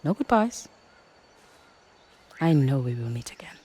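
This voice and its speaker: An actress playing a serene, cryptic woman guide in a video game, solemn, measured and resolute. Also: extremely low pitch, sing-song, mellow, a little hiss or hum, exaggerated voice. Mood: resolute farewell. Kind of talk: video game cutscene acting.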